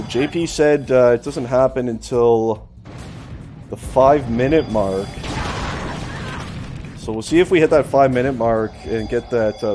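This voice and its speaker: A man doing live play-by-play as an esports shoutcaster. He is losing his voice and bursts into excited shouting on big plays. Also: medium pitch, noisy background.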